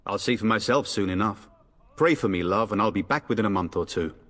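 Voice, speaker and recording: A man's voice: on the low side, animated, fairly quick, a bit bright, in a large hall. A man playing a jaunty, teasing young noble soldier in a video game. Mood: confident, joking.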